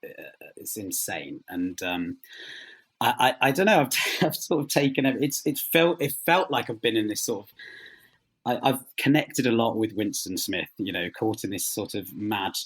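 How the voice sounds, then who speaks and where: A man's voice: on the low side, animated, normal speed, breathy edge. A man speaking conversationally as an interview guest, modest and self-effacing. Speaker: interview guest, audio drama writer.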